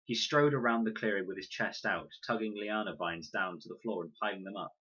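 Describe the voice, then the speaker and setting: A man reading a children's novel aloud in an expressive narration style. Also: lowish voice, brisk, slightly rough, dull audio. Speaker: audiobook narrator, reader.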